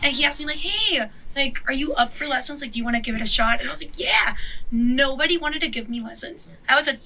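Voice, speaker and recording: A woman's voice: high voice, animated, quick pace, pushed and bright, slight background noise, rough recording. A woman in her twenties, a drummer speaking in a documentary interview in a chatty way, full of "like". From Mexico. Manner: chatty, many "like" fillers. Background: background music.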